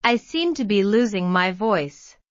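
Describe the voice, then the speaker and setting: A woman modelling English phrases for learners, repeating each one slowly and then word by word. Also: medium pitch, lively intonation, dry recording. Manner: very slow, carefully enunciated. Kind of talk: slow drill reading, word by word. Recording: dull, slightly lo-fi sound.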